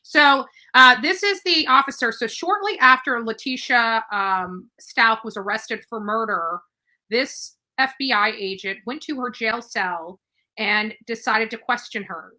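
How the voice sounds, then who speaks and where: A woman's voice: on the high side, unhurried, sharp tone, low-quality recording. A woman commenting on a true crime case in a casual, chatty way, with fillers and restarts.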